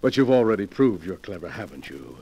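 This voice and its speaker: A man's voice: deep voice, very animated, fairly quick, gravelly, mellow, slightly rough, lo-fi sound, full character voice. A wealthy, scheming villain from a 1940s radio thriller. His delivery swings widely, theatrical and suave, with menace beneath every polite phrase. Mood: sly, scheming. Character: sinister wealthy male collector of murder relics, wheelchair-bound, scheming. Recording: 1940s radio drama recording.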